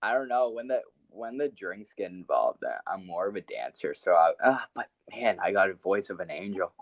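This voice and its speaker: Young male podcast co-host, low pitch, lo-fi dry sound, hesitant and laughing. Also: expressive, moderate pace, no echo. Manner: laughs often, hesitant with many ums. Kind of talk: casual sports podcast chat. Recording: dull, dry podcast audio.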